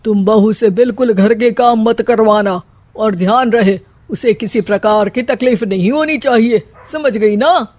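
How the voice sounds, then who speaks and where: Man playing a flustered, slow-drawling cowardly father-in-law. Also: sits high, a little mellow, dry recording. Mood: anxious coaxing. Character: cowardly, scheming middle-aged father-in-law, comically flustered.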